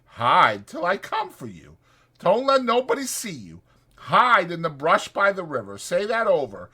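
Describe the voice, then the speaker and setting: Irritable ranch hand played by a male narrator, bossy and protective, pitched up, forceful, rustic American speech. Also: wildly swooping pitch, a little slow, husky, heavily acted. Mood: insistent. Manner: brusque, scolding, rural American dialect.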